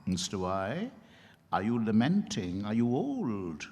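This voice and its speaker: An actor playing a courteous, insistent doctor of law in a theatrical stage reading. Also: male voice, lowish voice, wildly swooping pitch, dark tone, heavily acted. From India. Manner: deliberate, questioning, theatrical. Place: event hall at a hotel. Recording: echoey hall with long reverb.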